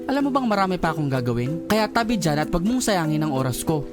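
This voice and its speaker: Masungit at mayabang na boss, ginagampanan ng aktor. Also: male voice, a little high, sing-song, fast talker, music in the background. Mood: scornful. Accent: Filipino. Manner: stern, brusque, condescending. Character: curt, haughty, impatient male company boss. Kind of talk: Filipino romance audio drama acting.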